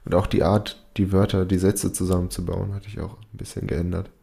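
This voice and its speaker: Deep gravelly man, hesitant chat. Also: little pitch movement, brisk, warm tone. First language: Portuguese. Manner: hesitant, restarts a lot. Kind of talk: casual chat.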